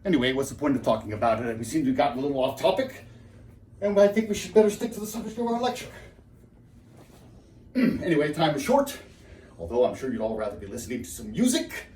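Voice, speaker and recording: An actor playing a nervous, henpecked husband who rambles and pities himself through a theatrical comic lecture monologue. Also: male voice, mid-range voice, big pitch swings, fast talker, long pauses, darkish tone, close to an everyday voice. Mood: wounded, rambling. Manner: digressive, self-pitying, exclaiming then trailing off. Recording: roomy, echoing, distant mic with background noise.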